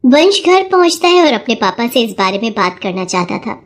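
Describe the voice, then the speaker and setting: A woman narrating a children's moral story in an even storybook manner. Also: a little high, normal speed.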